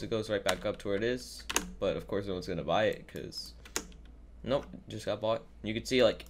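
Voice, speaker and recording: A man with a fairly low voice talks through an on-screen tutorial in a casual, unscripted way. He sounds a little sick and rambles as he thinks out loud at his computer. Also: a little hiss or hum. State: sick with a cold. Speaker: YouTuber giving a trading tutorial. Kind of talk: casual improvised screen-capture commentary. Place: indoors at a computer, voice-over.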